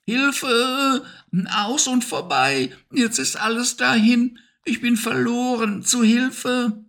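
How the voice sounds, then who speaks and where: Male reader as a tiny dramatic hamster, high and squeaky, quick to wail. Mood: panicked. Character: small, anxious, tearful male hamster. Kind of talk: storybook reading.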